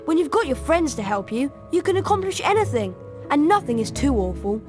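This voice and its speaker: A woman's voice: high-pitched, very animated, a little fast. A woman voicing a plucky, good-hearted schoolboy hero in a video game, eager and sincere. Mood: cheerful. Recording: music and crowd behind the voice. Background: music, crowd noise.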